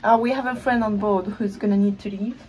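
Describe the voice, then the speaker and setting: A woman in her thirties chatting casually on a sailboat, relaxed and laughing easily. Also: medium pitch, brisk, highs cut off. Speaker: sailing vlogger. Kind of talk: casual chat. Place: outdoors on a sailboat at sea. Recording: noisy outdoor camera audio.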